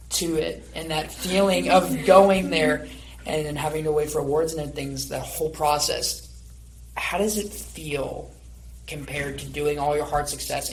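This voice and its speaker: A man with a creaky, gravelly voice hosts a conversational interview podcast. He rambles through long questions at a medium pitch, over faint background noise. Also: low-quality recording. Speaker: podcast host.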